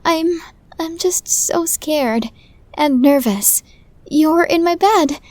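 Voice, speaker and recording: A woman's voice: high voice, slow pace, a little mellow, no room sound. A woman playing a tiny, nervous, lovestruck young woman in an ASMR roleplay, stammering and flustered. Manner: stammering, flustered, breathy asides.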